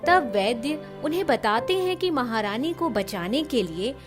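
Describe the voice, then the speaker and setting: A woman narrates a children's fairy tale in a scripted storytelling style. Her voice is high-pitched, and music plays clearly behind her. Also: expressive, measured pace.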